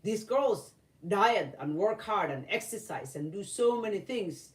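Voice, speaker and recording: A woman from Somalia, giving earnest opinion commentary to camera, halting with many fillers and restarts. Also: mid-range voice, lively intonation, distant mic. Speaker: vlogger. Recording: roomy, voice from off-mic.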